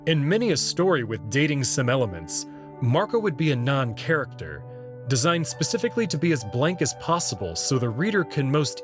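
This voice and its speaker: A man giving scripted narration and commentary as the reader of a story. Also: mid-range voice.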